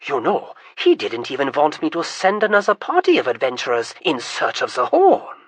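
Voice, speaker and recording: A reader voicing an excitable, vain young prince who stammers when nervous and gets shrill and loud when pleased. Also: moderate pace, husky, no room sound. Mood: chatty.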